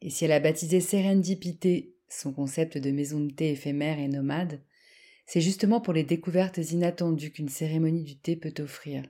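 A woman hosting a podcast, reading a scripted introduction in a deliberate, measured way. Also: low-pitched, dark tone. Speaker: podcast host and narrator. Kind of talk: scripted narration.

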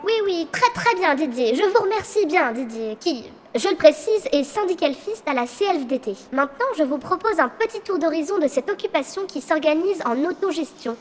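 A woman's voice: sits high, expressive, moderate pace, hardly any pauses. A woman playing Santa's daughter as a live reporter, polite and dramatic, in a comic audio drama. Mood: polite, presenting. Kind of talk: mock live news reporting in audio comedy. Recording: long reverberant hall.

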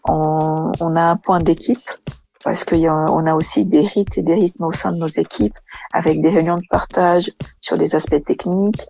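A woman's voice: low-pitched, fairly even intonation, normal speed, vocal fry, dark tone, soft background music. A woman from Brazil, an engineering manager answering interview questions hesitantly with many fillers and restarts.

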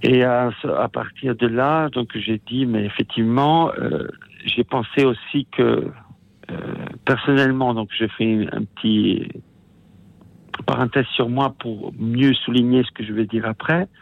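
Italian-born male caller, gravelly, hesitant; tinny phone line. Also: mid-range voice, fairly even intonation. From Italy. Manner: hesitant, halting, many restarts. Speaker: radio caller, musician. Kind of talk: phone-in call to a radio show. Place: at home.

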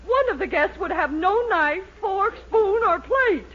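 Snobbish sitcom matron, imperious and melodramatic, very animated pitch, dull vintage radio sound. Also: female voice, unhurried. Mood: distressed. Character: haughty, fussy, snobbish middle-aged society mother. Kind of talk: 1940s radio comedy acting. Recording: muffled 1940s radio recording, faint noise.

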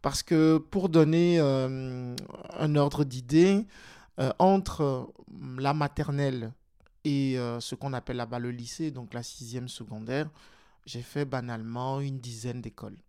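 A man's voice: medium pitch, unhurried, mellow, clean tone. A man from Togo, speaking as an interview guest, hesitant and full of fillers and restarts. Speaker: guest, politician and teacher. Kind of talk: casual interview talk.

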